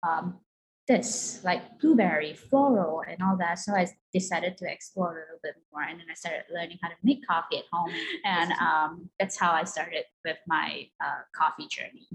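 Chatty Malaysian-born woman, slightly low voice. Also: moderate pace, low-quality recording. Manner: chatty, peppers speech with "like". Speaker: guest, coffee company co-owner. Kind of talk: casual interview answers. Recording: clear background noise.

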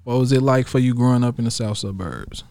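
A man's voice: medium pitch, quick pace, gravelly, warm tone. A man with an American accent asking questions as a radio interview host in casual, slangy talk.

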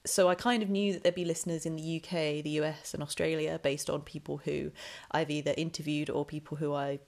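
A chatty young woman talks her way through a relaxed solo podcast, with plenty of ums and friendly asides. Her voice sits fairly low and comes across a little nasal and snuffly. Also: vocal fry. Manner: chatty, full of ums, rambling. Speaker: podcast host.

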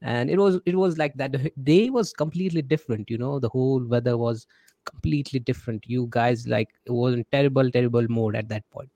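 A man's voice: medium pitch, fairly even intonation, fast, mellow, no room sound. A man with an Indian accent hosting a casual interview, chatty, often repeating his words and acting out the messages he quotes. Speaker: podcast host.

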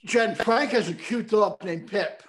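An excitable, slightly neurotic man hosting a podcast, with a notably high voice for a man. He gushes praise and cuts in eagerly while others talk. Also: a little slow. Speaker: host. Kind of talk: casual chat.

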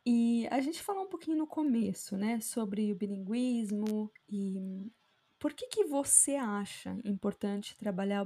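A woman hosting a casual interview, talking slowly and reflectively and asking open questions. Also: medium pitch, dark tone. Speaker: podcast host.

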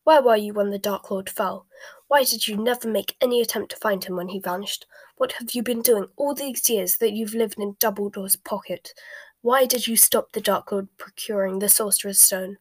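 A woman reading the lines of a fierce, fanatical female witch, loud and snarling, with a breathy voice. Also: medium pitch, normal speed, dry recording, lightly acted. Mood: furious.

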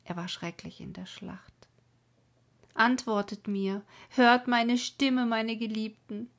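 A woman voicing a grieving young woman who laments her dead lover in a dramatic poetry reading, with swelling calls and breathy whispers. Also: fairly high pitch, fairly even intonation, slow pace, fairly bright tone, voice pushed up. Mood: grief-stricken.

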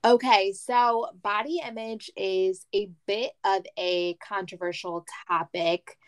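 A woman in her twenties co-hosting a podcast, talking in a relaxed, casual way with plenty of "like.". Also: mid-range voice, slow. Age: 20s. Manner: relaxed, uses "like" often. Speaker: podcast co-host. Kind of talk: casual chat.

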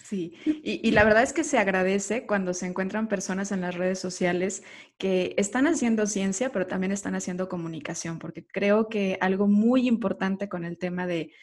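A woman hosting an interview, speaking in a measured, appreciative way. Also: medium pitch, darkish tone. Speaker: podcast host.

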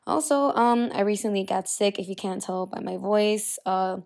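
Young woman, solo podcast host; hoarse, gravelly, husky after illness; chatty, restarts often. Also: mid-range voice, normal speed, a little mellow. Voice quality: husky, raspy. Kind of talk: casual solo podcast, reading posts aloud.

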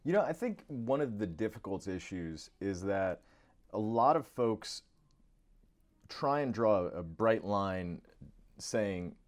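An American man with a slightly low voice hosts a podcast interview. He talks in a conversational style, setting out his thoughts and putting questions to his guest. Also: expressive, takes it slowly.

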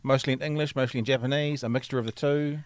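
A man's voice: medium pitch, steady tone, quick pace, dry recording. A man hosting an interview, asking loose conversational questions with frequent restarts. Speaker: interview host. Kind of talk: casual interview.

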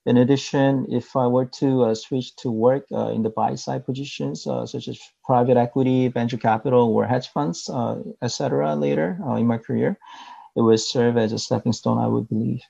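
A man from Korea with a Korean accent, answering interview questions in careful English with many filler pauses. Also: medium pitch, fairly even intonation, gravelly, dark tone, husky. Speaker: interview guest. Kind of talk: recorded video call interview. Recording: dull muffled audio.

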